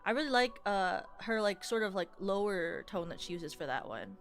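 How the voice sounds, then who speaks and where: A woman's voice: medium pitch, lively intonation, moderate pace, soft background music. A young adult woman streamer in casual, rambling livestream chat, playfully teasing her viewers.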